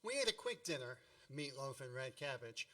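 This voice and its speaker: A man's voice: medium pitch, lively intonation, normal speed, pushed and bright, far from the mic. A man playing a grown-up storyteller who recalls his boyhood in wry, mock-grandiose radio play narration. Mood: nostalgic.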